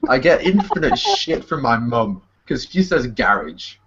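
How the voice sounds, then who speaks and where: A man's voice: medium pitch, big pitch swings, measured pace, a loud crowd. A man from Scotland co-hosting a casual podcast, joking and laughing often. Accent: neutral English with slight Scottish. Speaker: podcast co-host. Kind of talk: casual chat. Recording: dull lo-fi podcast audio.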